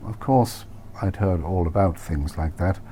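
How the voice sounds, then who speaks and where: Low-voiced man narrating, muted tone, some noise. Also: unhurried, mellow. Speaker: author reading own novel. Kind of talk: first-person audiobook narration.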